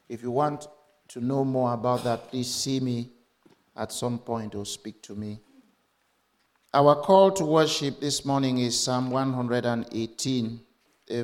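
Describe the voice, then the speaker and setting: A man speaking as a church minister leading a service, with measured, formal and deliberate phrasing. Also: mid-range voice. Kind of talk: church welcome, announcements and scripture reading.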